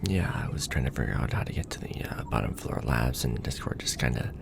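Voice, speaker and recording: Very low, breathy, creaky actor as wry man. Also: brisk, in a whisper, exaggerated voice. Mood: casual, wry. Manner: casual, wry, hesitant in explanations. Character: weary, joking young man bonded to an alien symbiote. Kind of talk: ASMR roleplay drama.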